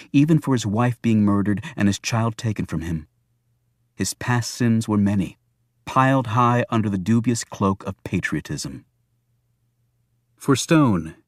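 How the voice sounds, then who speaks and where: A man's voice: fairly low pitch, no echo, clean studio sound. A man narrating a thriller novel as a scripted audiobook.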